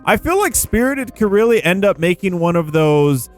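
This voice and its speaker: A man's voice: high-pitched, sing-song, moderate pace. A man hosting a gaming video with casual, rambling commentary, playful and full of informal slang. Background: background music.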